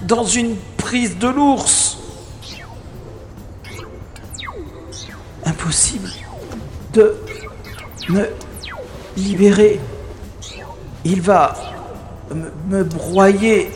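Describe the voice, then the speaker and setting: A man's voice: high voice, very slow, long pauses, roomy sound, faint music behind. An actor playing a wisecracking special agent who narrates his own science-fiction adventure in a wry, theatrical way. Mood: straining, effortful. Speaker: lead actor and narrator of an audio drama. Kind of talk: dramatised first-person narration, sci-fi radio play.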